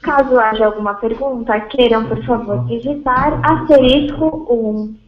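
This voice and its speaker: A woman's voice: a little high, measured pace. A woman with a Brazilian accent reading formal scripted announcements as a conference call operator. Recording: echoey, dull conference call audio.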